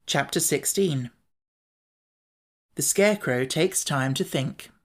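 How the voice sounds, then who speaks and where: A woman narrating a classic children's storybook with a lively, expressive reading. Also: deep voice, takes it slowly. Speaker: audiobook narrator.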